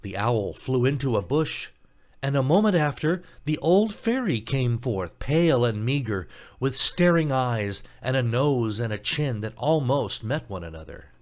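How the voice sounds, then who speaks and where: Man narrating an expressive fairy tale. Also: mid-range voice, unhurried. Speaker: storybook narrator.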